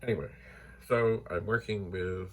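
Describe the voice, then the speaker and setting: A soft-spoken man casually thinking aloud while testing old computer gear in his home computer room, trailing into whispered asides. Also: low-pitched, steady tone, a little slow, slight background noise. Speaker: retro computer vlogger. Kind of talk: casual rambling narration while testing hardware. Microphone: phone mic.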